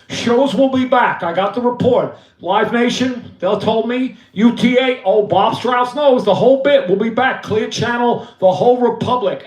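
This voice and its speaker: A man putting on a voice as a brash, boastful veteran road manager who rambles and talks about himself in third person, as a comic bit on a live stream. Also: sits high. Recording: roomy echo.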